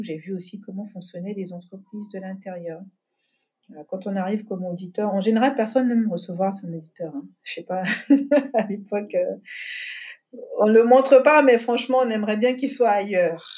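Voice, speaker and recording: A middle-aged woman from Côte d'Ivoire, a guest telling her story in a relaxed interview, with many pauses, fillers and restarts. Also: medium pitch, breathy edge. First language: not English. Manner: thoughtful, many fillers and restarts, laughs easily. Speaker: podcast guest, company founder.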